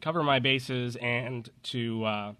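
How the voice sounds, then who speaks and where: Male podcast host, congested, bright forceful voice, close dry sound, casual joking delivery. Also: mid-range voice, slow, clear, resonant tone, no echo. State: congested with a cold.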